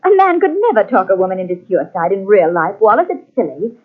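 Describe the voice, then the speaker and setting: An actress in an old-time radio mystery playing a wealthy, dismissive wife with an airy theatrical manner. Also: female voice, medium pitch, big pitch swings, fast talker. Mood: amused, dismissive. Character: wealthy, breezily dismissive wife who backs plays. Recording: tinny, band-limited old radio cassette transfer.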